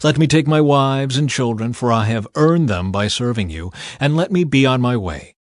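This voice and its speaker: Male narrator as insistent ancient shepherd, earnest and emphatic, animated mid-range voice, scripture storytelling. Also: mid-range voice, moderate pace, no echo, clean studio sound, natural voice. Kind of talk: Bible audiobook character reading.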